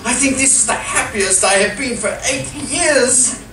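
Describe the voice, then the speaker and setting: A woman's voice: on the high side, unhurried, close to an everyday voice. A woman playing a sweet, fussy, innocent church worker in a live comedy radio play, effusive and fretful. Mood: overjoyed. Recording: echoey distant live recording, clear noise.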